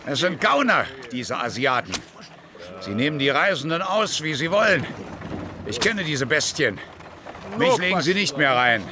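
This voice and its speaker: A gruff veteran officer of about fifty in a radio play. He is blunt and full of contempt, and his pitch swings widely. A noisy scene surrounds the voice. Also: big pitch swings, moderate pace. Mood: scornful, worldly-wise. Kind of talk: radio drama acting.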